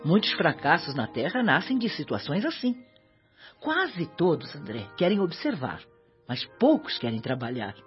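A woman's voice: low voice, sing-song, moderate pace. An actress playing a kindly, devout elderly mother spirit in a Brazilian radio drama, speaking Portuguese in an earnest, maternal, moralizing way. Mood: gently admonishing. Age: older. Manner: maternal, earnest, moralizing with gentle tag questions. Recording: dull audio with background music.

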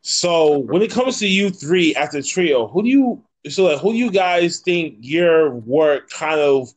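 A man's voice: fairly high pitch, expressive, measured pace. A man in his thirties hosting a casual podcast interview, slangy and sweary, encouraging his guests. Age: 30s.

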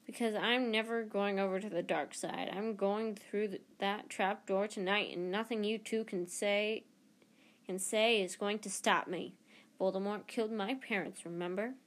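A determined, defiant teenage boy, voiced by a woman reading aloud with an urgent, intense delivery. The voice stays close to her own mid-range pitch, lightly acted for a storybook. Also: fairly even intonation, normal speed, natural voice. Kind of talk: reading a children's novel aloud.

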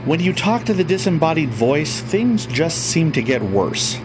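An actor playing a nervous, wisecracking friend in an audio drama, given to wry asides. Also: male voice, a little high, big pitch swings, a little slow, over music.

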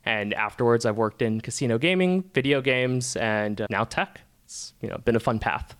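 A man from Nevada introduces himself on a casual podcast. His voice is mid-pitched, and he talks in a relaxed, rambling way, leaning on fillers. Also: moderate pace, smooth. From Las Vegas, Nevada. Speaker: podcast producer.

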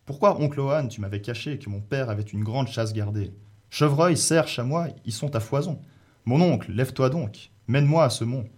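A man voicing a young giant shepherd hero from a folk epic, bold and defiant, with booming, declamatory calls. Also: normal speed, darkish tone, close to an everyday voice. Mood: defiant, demanding.

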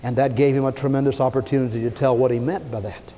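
A man preaching a sermon, emphatic, swinging his pitch and loudness as he acts out the people he quotes. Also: little pitch movement, quick pace. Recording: long hall reverb.

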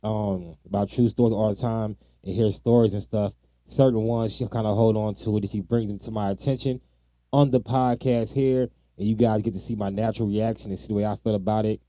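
A man's voice: on the low side, steady tone, a little fast, mellow, dry recording, studio quality. A man co-hosting a podcast, chatting casually about true crime in informal, slangy language. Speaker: podcast co-host.

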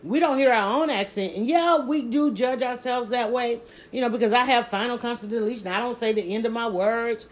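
Fast-talking Southern woman. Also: on the high side. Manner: rapid, stumbling restarts, animated emphasis. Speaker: social media creator. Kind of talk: casual talk on a live stream.

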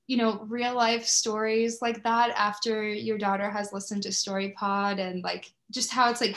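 A woman speaks as an interview host with a conversational flow and a middle-range pitch. She sounds engaged and enthusiastic, thinking through her points as she goes. The sound has a clear roomy echo around her voice. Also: fairly bright tone. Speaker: podcast host interviewing a guest.

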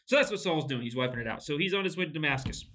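A man's voice: a little high, expressive, very fast, bright, forceful tone. A man casually discussing a Bible story, laughing often and restarting his sentences as he goes. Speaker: podcast co-host.

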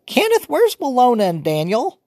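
A man voicing a fussy old grandmother, shrill and scolding. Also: wildly swooping pitch, moderate pace, a little mellow, dry recording, clean studio sound, heavily acted.

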